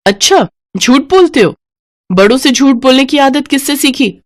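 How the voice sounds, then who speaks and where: A woman plays a haughty rich mother in a storybook tale. She is sharp, bossy and quick to accuse, her voice lifted above plain narration. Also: high voice, animated, voice pushed up. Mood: angry scolding. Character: haughty scolding rich mother, mistress of the house.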